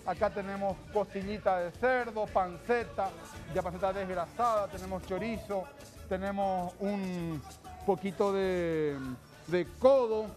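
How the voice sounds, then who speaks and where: A middle-aged man running a TV cooking segment with playful banter, presenting dishes like a showman. His voice is high for a man, with faint studio music underneath. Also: steady tone, a little slow. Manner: jokey, showman. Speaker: TV cooking show host. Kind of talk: lively TV cooking show banter. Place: TV studio kitchen set.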